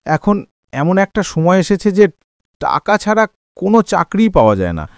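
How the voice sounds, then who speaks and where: Worried, dutiful young husband and son for a cartoon, played by a man; earnest, animated delivery, dry recording. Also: high voice, brisk, clear, resonant tone, no room sound, exaggerated voice. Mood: worried, dejected. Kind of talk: cartoon drama dialogue.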